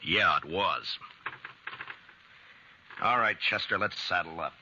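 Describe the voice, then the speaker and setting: An actor playing a plain-spoken, weary, principled marshal with a dry, blunt manner in a 1950s radio western. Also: male voice, low-pitched, slow, pushed and bright, heavily acted. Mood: resigned. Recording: muffled, noisy 1950s radio recording.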